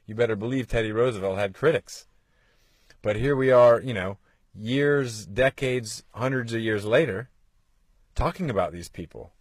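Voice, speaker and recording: A man's voice: medium pitch, moderate pace, breathy edge, no echo. A man in his forties hosting a motivational podcast, urging listeners on with earnest rhetorical questions. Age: 40s. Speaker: podcast host.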